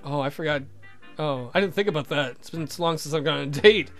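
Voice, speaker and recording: A man's voice: on the high side, fast talker, a bit bright, soft background music. A man hosting a let's play, reading a game aloud with casual, irreverent joking commentary.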